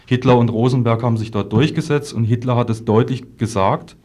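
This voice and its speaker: A man's voice: mid-range voice, brisk, a little mellow. A man speaking as a guest in a political debate, arguing at length in formal, somewhat hesitant language. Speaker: political activist as discussion guest. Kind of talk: political debate, argumentative talk.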